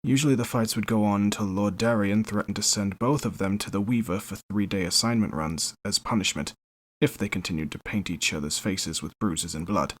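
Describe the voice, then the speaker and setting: An actor playing a wry veteran knight captain in a fantasy audio drama, storytelling in a conversational way. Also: male voice, on the low side, fairly quick, lightly acted. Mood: wry, confiding.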